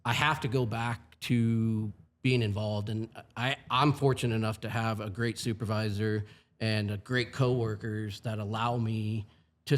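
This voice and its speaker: A man in his forties, a firefighting supervisor, talking in an earnest, plain-spoken way with many asides in a casual podcast chat. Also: fairly low pitch. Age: 40s.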